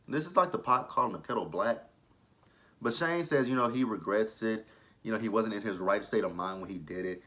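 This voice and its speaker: A thirty-year-old man talks through a TV episode in a casual review vlog. His voice sits a little low, with a bright, forceful edge. He is blunt and opinionated and swears without hesitation. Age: 30s. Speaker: TV show reviewer.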